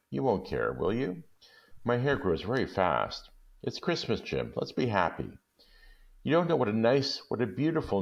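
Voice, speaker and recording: A devoted young wife from a storybook, played by a male reader, lifted in pitch above his own low register. The delivery is tender and anxious, swinging widely as it pleads. The sound is slightly muffled. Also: vocal fry, exaggerated voice. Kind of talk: dramatic storybook reading.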